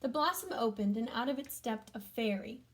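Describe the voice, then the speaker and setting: A woman reading a storybook aloud to children, adding gentle chatty comments and questions for young listeners. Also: fairly high pitch, moderate pace. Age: adult.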